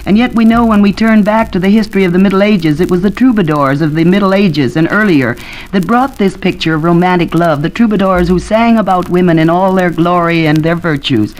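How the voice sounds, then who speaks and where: A woman with a fairly low voice and an American accent speaks as a learned guest on a 1940s radio panel. She explains her points like a lecturer, working through examples in a studio discussion. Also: a little fast, a little mellow, poor audio. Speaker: expert panelist, academic doctor.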